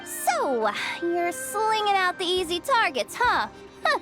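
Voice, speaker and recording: A woman's voice: extremely high pitch, very animated, takes it slowly, a bit bright, faint music behind, exaggerated voice. A woman voicing a plucky young woman organiser who likes to bluff tough, in a video game. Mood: tough, cocky.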